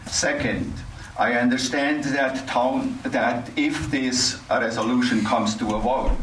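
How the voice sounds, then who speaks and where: An older man giving a formal, scholarly prepared address at a public meeting, speaking haltingly. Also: mid-range voice, steady tone, fairly bright tone. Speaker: resident speaking at public comment, cancer researcher. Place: community center meeting hall. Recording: roomy, distant mic, faint noise.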